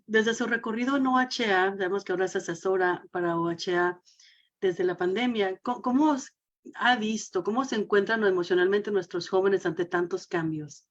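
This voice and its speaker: A woman hosts a community radio interview program. Her voice sits at a middling pitch. The recording is slightly muffled and lo-fi. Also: normal speed. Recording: dull, band-limited sound.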